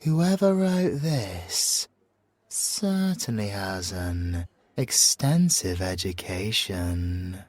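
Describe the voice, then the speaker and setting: A man's voice: low-pitched, expressive, no echo, full character voice. A man with a British accent playing a suave, flirtatious former special forces soldier in an ASMR audio roleplay, teasing and theatrical, drawing out his words and slipping into whispers. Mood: playful, intrigued. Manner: teasing, drawn-out, theatrical delivery with whispered asides. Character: suave, flirtatious, possessive male ex-special-forces bomb expert.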